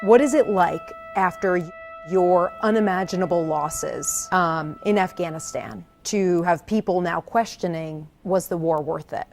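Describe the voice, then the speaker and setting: A woman's voice: fairly low pitch, unhurried, gravelly, a little mellow, soft background music. A woman working as a TV news reporter, asking questions and recounting interviews in a discussion.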